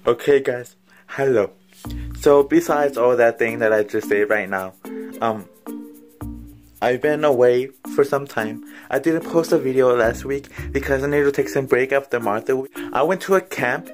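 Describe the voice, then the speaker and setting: A man's voice: mid-range voice, normal speed, music in the background. A young man with a Mexican American accent, chatting jokily and rambling to the camera in his bedroom, filmed on a phone at arm's length. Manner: rambling, joking, filler-heavy. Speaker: vlogger. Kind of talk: comedic vlog chat. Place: small cluttered bedroom, indoors.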